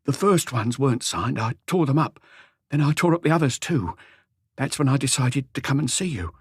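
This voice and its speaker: A man's voice: mid-range voice, lively intonation, normal speed, husky, no room sound, studio quality, voice pushed up. A man voicing a timid, humble, nervous tradesman in an audiobook, hesitant and apologetic in the way he talks. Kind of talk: audiobook character dialogue.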